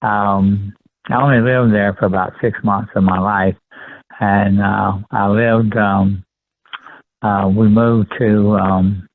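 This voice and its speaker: Elderly Texan man, low voice, phone-line sound. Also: little pitch movement, no echo. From rural Texas, USA. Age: 70s. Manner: halting, deliberate, polite. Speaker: interview guest. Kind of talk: conversational personal recollection.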